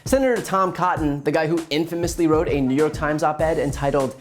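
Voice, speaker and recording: A man's voice: a little high, fairly quick. Sardonic man commentating over music. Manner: pointed, sardonic. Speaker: political commentary host. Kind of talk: scripted political commentary. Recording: music under the voice. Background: music bed.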